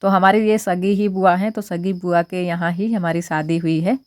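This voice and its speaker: Hoarse young woman chatting while cooking. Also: on the low side, fairly even intonation, normal speed, darkish tone, no echo, studio quality. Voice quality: husky, strained voice. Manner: chatty, homely step-by-step narration. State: hoarse from a cold. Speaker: village vlogger. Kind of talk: casual cooking vlog narration. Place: village home kitchen.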